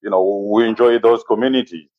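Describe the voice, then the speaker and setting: A man in his forties with a Zimbabwean accent, speaking as an interview guest in a measured, halting way and often saying "you know". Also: fairly low pitch, steady tone, dry recording, phone-quality sound. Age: 40s. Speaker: interview guest, safari business owner. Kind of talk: conversational interview, personal recollection.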